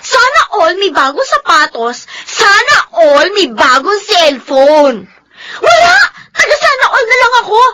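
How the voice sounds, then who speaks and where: Whiny, high-voiced teenage girl. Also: sing-song, a little slow, sharp tone, raised voice, exaggerated voice, low-quality recording. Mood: tearful outburst. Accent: Filipino. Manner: whiny, sulky. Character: resentful, envious teenage schoolgirl daughter, self-pitying and whiny. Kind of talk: Filipino radio drama acting.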